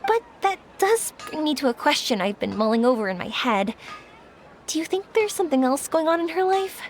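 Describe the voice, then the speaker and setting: A woman's voice: sits high, very animated, normal speed, soft background music. A woman voicing an upbeat, caring young woman in a video game. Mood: reassuring. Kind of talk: scripted video game dialogue.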